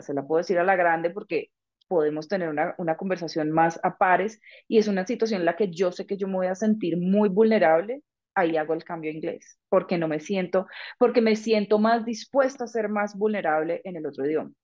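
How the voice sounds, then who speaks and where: A middle-aged woman, a guest, speaking in a thoughtful, frank and measured way during a conversational interview. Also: fairly low pitch, a little mellow, lo-fi sound. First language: Spanish.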